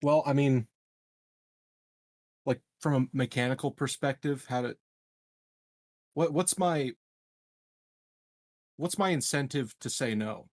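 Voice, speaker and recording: A man's voice: medium pitch, takes it slowly, pauses often, a little mellow, no room sound, clean studio sound. A man chatting casually as a player in a tabletop game, joking and loose with his language. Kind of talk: casual chat.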